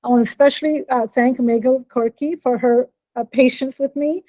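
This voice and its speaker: A woman's voice: high voice, steady tone, moderate pace, warm tone, no echo, rough recording. A woman with an American accent, a professor giving a friendly keynote talk over a video call and checking in with her listeners. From born in Norway, raised in Brazil. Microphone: computer mic on video call.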